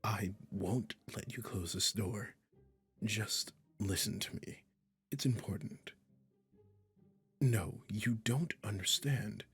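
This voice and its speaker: A low, gravelly male witch acted by a man, possessive and obsessed, speaks in an unhurried, deliberate drawl. He coaxes with condescending sweetness and quiet menace, in an intimate roleplay audio drama with music behind him. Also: heavily acted. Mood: coaxing, insistent. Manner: soft coaxing, condescending endearments, controlling, deliberate.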